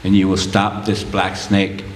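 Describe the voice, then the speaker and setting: A man's voice: sits low, monotone, measured pace. A man speaking at a community rally in an impassioned, prayerful, declaiming way. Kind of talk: impassioned speech. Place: indoor community gathering. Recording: echoey hall with background noise.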